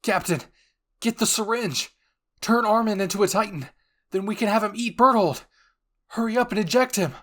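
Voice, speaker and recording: A man's voice: sits high, normal speed, a bit bright, voice pushed up. A man voicing a desperate, tearful teenage boy soldier in an anime roleplay, pleading with urgent force. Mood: frantic pleading.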